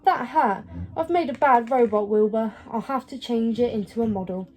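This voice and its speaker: An excitable storybook witch, played by a woman reader, fairly high and mellow in tone. She is exclamatory and expressive, quick to get cross. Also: lightly acted. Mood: hurt, cross.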